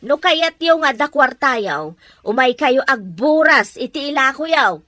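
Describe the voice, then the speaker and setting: A woman's voice: high-pitched, sing-song, measured pace, sharp tone, no echo, exaggerated voice. A woman with an Ilocano accent playing a scolding, hard-pressed farm mother in a radio drama. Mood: firm, scolding.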